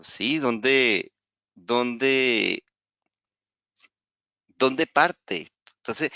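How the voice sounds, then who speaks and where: A man's voice: medium pitch, bright, forceful tone, clean tone, dry recording. A middle-aged man from Venezuela with a Venezuelan Spanish accent, teaching astrology in an online webinar, talking hesitantly with many fillers and repeated words. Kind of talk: webinar lecture.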